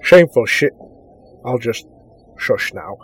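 An actor playing a dim, lecherous, pompous secret agent in a spy spoof, with a suave drawl. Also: male voice, medium pitch, sing-song, slow pace, husky, full character voice. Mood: dim, sheepish.

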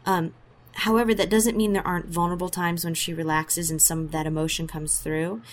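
A woman's voice: lowish voice, flat delivery, fairly quick. A woman who voices a character in an audio drama, answering interview questions in a thoughtful, rambling way with many fillers and restarts.

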